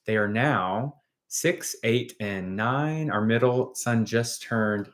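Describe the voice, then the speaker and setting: A man in his late thirties co-hosting a casual live chat, answering viewer questions in measured, plain sentences. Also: medium pitch. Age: 30s. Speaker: co-host of a live chat stream. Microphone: phone front camera.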